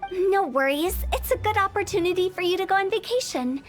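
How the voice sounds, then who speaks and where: Very high-voiced mischievous young funeral director, teasing, morbid jokes, faint game music. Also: female voice, lively intonation, a little fast. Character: playful, macabre young woman funeral director. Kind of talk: game cutscene voice acting.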